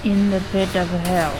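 Woman playing a slow, menacing female guard. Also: mid-range voice, low-quality recording. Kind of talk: audio drama acting. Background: loud music.

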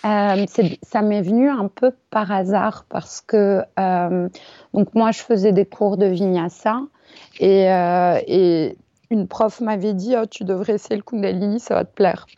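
A middle-aged woman from France, a yoga teacher, answering interview questions in a hesitant, reflective way with many pauses and fillers, over a remote recording. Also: medium pitch, fairly even intonation, mellow, no room sound. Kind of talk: casual interview chat. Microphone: remote call recording. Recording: dull, dry remote recording.

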